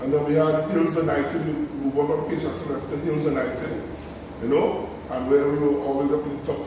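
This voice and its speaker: A Tobagonian man in his fifties speaks in a fairly high voice, recorded from a distance in a very echoey room with a long reverb tail. Background noise sits clearly behind him. He tells stories animatedly and keeps checking in with "right?". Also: a little fast. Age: 50s. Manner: animated storytelling with tag questions. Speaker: guest, community sports organizer. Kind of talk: conversational interview.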